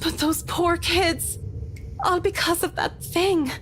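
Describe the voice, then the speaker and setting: Very high-voiced, frightened young woman. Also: steady tone, a bit bright, slight background noise, exaggerated voice. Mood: distraught. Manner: halting, swearing, pleading. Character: terrified, rattled young woman trapped in a haunted mansion. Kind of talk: dramatic visual novel voice acting.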